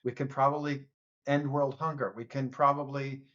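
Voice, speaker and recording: A man appearing as a podcast guest, speaking earnestly and haltingly, often restarting in a casual political debate. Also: medium pitch, flat delivery, a bit bright, muffled recording.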